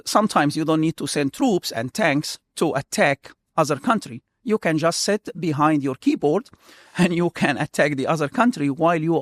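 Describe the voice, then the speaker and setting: A middle-aged man from Egypt, a computer science professor answering interview questions in an earnest, halting way with frequent restarts. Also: on the high side, no room sound.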